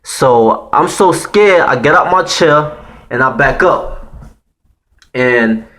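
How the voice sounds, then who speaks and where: A man's voice: on the high side, expressive, slow, a bit bright. A man in his thirties from Haiti with a Haitian accent, telling a personal story to viewers in a casual, slangy, profane way with lots of "man" fillers. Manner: slangy and profane, peppered with "man" and "you know what I'm saying".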